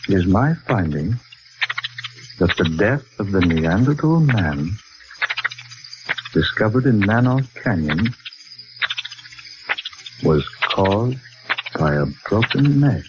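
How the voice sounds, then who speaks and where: Slow, deep, grave report-reader. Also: male voice, big pitch swings. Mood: grave, ominous. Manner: slow, halting, portentous. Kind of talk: 1940s radio drama acting. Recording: very noisy, muffled 1940s radio recording.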